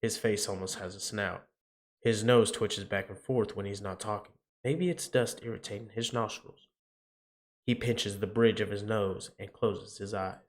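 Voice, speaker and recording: A man's voice: fairly low pitch, steady tone, moderate pace. A man reading visual novel narration aloud dramatically as he plays. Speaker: game player reading aloud.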